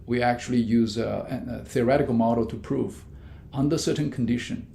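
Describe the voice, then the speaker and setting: A man, a business professor, giving measured answers in an interview with frequent fillers and restarts. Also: gravelly, warm tone. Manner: many fillers and restarts, non-native grammar; measured, explanatory. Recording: echoey room with faint rumble.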